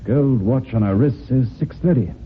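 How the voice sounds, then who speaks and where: An actor playing a matter-of-fact police inspector in an old-time radio drama. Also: male voice, mid-range voice, normal speed, slight background noise, natural voice. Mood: matter-of-fact, grim. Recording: tinny, narrow-band old radio recording.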